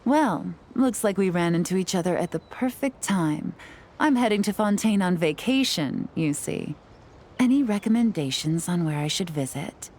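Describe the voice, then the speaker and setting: A woman voicing a poised, sly female spy who talks with smooth, teasing politeness in a video game. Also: medium pitch, darkish tone, a little hiss or hum, close to an everyday voice.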